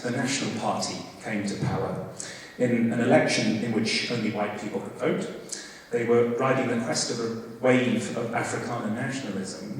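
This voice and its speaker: A man hosting a university talk, giving background with many fillers and restarts, with a loud voice. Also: medium pitch, slight background noise. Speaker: host introducing a guest lecture. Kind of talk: academic lecture. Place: large hall. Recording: echoey hall from far off.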